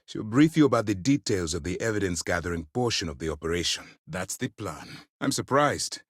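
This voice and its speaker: An actor playing a stern, principled space navy commander in a video game, speaking in a formal, deliberate, authoritative way. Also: male voice, deep voice, lively intonation, breathy edge, dry recording, clean studio sound. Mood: measured, grave. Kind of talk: scripted dramatic game dialogue.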